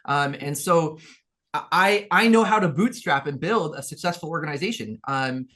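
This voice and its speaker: A man's voice: a little high, normal speed, sharp tone. A man from Peru, a guest in an interview, telling his story earnestly in a rambling way, with many restarts. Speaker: guest, business owner.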